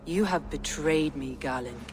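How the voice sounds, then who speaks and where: A woman's voice: sits low, unhurried, a little mellow. An actress playing a stern, grieving Viking woman leader with a solemn, commanding and formal delivery in a video game. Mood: grave, bitter. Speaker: clan leader. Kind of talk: dramatic video game acting.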